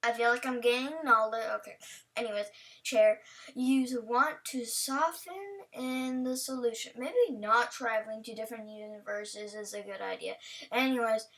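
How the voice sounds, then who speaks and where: A woman's voice: fairly high pitch, fairly even intonation, normal speed, fairly bright tone. A woman hosting a reaction video in a casual, rambling, playful way, chatting to what she watches. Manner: rambling, playful, talks to the on-screen character. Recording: voice a bit far from mic.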